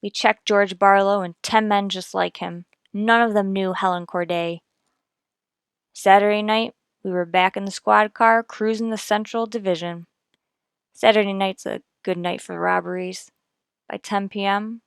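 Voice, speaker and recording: An actress plays a dogged female police detective telling her case for a radio procedural. Her voice is smooth and clean, recorded dry. She keeps it terse, businesslike and matter-of-fact. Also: medium pitch, steady tone, unhurried, no room sound. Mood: terse, factual. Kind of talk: clipped police-procedural radio drama narration.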